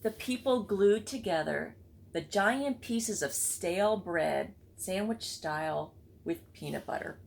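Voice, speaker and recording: Creaky-voiced woman reading a storybook aloud. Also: mid-range voice, slow pace, slight background noise. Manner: expressive, with chatty asides to listeners. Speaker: read-aloud book club reader. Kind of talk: children's storybook read-aloud.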